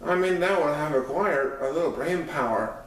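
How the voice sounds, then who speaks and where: A man narrates a story in the first person, reading from a script. His voice sits a little high and comes from some distance off the microphone, in a very echoey room. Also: moderate pace, fairly bright tone, slight background noise. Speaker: audiobook narrator.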